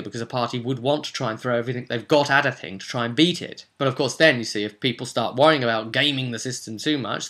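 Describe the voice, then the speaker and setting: Guy with a bright forceful voice, chatty game master explaining stuff, asks loads of rhetorical questions. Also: mid-range voice. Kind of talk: informal tabletop gaming advice monologue.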